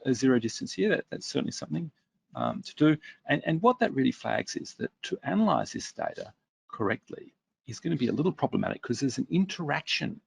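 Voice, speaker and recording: A man's voice: mid-range voice, gravelly, husky, no echo. A man presenting a workshop webinar, reading out viewer comments and answering them conversationally with fillers.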